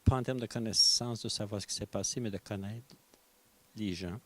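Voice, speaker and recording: A man from Quebec with a Québécois accent, giving a soft-spoken, hesitant personal testimony in a mosque prayer room. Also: on the low side, moderate pace. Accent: Québécois French.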